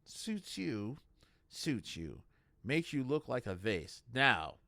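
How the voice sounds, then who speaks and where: A man's voice: mid-range voice, very animated, takes it slowly, creaky, bright, forceful tone, no room sound, studio quality, exaggerated voice. A man voicing a brash, mocking male god stuck as a tortoise, bossy and pushy.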